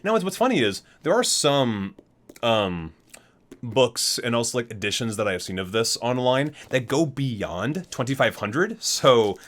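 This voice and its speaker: Man with lively pitch doing ASMR unboxing. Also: measured pace. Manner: rambling, self-correcting, marvels at details. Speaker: video host, gaming fan. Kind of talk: ASMR unboxing with casual commentary and reading aloud.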